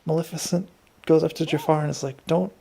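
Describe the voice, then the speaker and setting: Guy w super gravelly fry voice, bit high, joking around over a game. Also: fairly even intonation, fast talker, dark tone. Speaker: co-commentator. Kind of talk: casual chat over a game.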